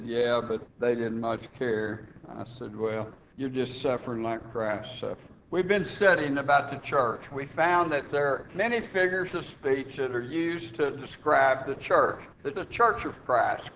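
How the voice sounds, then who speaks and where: Earnest preacher. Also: male voice, mid-range voice, fairly bright tone, slight background noise, low-quality recording. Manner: earnest, rising to emphatic preaching. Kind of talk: sermon and announcements on a radio broadcast. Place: church sanctuary.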